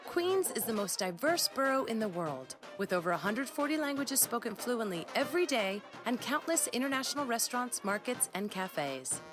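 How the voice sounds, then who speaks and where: A woman's voice: fairly high pitch, big pitch swings, moderate pace, hardly any pauses, music in the background. A woman narrating a travel show voice-over in an upbeat, scripted way.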